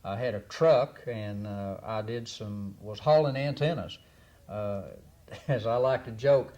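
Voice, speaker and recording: A man's voice: lowish voice, measured pace, dark tone. Folksy Texan man telling stories. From Texas. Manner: folksy storyteller, laughs easily. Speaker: guest. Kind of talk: casual interview chat.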